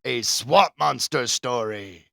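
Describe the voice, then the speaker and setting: A man's voice: mid-range voice, slow, gravelly, pushed and bright, dry recording, studio quality. A man hosting a podcast, casually teasing his co-host about a quiz. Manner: playful, teasing. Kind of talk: casual chat.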